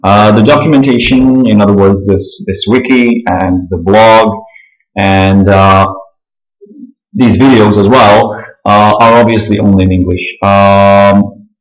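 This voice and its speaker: A man with a Czech accent walking through software setup, pausing often with fillers and clearing his throat. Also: lowish voice, fairly even intonation, echoey room, low-quality recording. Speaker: software tutorial presenter. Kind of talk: screen-recorded software walkthrough.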